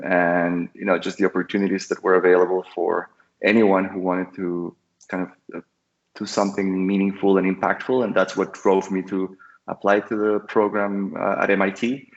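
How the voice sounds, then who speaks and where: A man's voice: low voice, muffled recording. A man, a guest entrepreneur speaking casually and thoughtfully with many fillers and restarts. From Costa Rica. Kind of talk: casual interview talk. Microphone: call audio.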